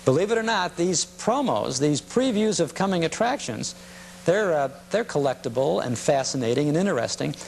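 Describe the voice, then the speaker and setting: A man's voice: a little high, normal speed, gravelly. A man hosting a nostalgia program, talking informally and somewhat hesitantly as he presents. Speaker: host of a nostalgia show. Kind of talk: informal presenting to camera or audience. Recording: hum and steady background noise.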